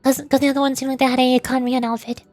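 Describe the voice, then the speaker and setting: A woman's voice: high-pitched, little pitch movement, racing, darkish tone, no echo. A woman streamer reacting casually to a game, thinking aloud in a puzzled way. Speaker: vtuber streamer. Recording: game music behind voice. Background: video game music.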